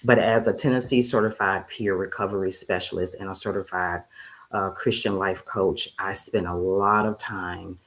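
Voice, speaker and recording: A woman who works as a peer recovery specialist and life coach, speaking on a video-call panel in deliberate, list-like phrasing. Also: extremely low pitch, fairly even intonation. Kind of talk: panel discussion.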